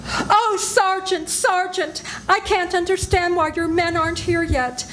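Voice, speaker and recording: An older woman playing a very high, anxious wife. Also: steady tone, a little slow, fairly bright tone, a little hiss or hum, low-quality recording. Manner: anxious and pleading. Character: frightened wife, Geraldine Reeves. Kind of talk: amateur live radio-play acting.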